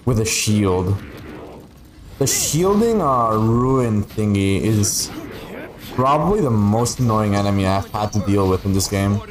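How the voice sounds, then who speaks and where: A man whose first language is Spanish, giving casual, rambling gaming livestream commentary with playful, sweary grumbling. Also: on the low side. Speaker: game streamer.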